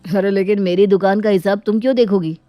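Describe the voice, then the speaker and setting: Woman playing aggrieved elder brother, an earnest shopkeeper in a cartoon family tale; medium pitch, dry recording. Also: fairly even intonation, fast talker, warm tone, no room sound, natural voice. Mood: indignant. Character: indignant hardworking elder brother, a shopkeeper son. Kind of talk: dramatized Hindi moral story acting.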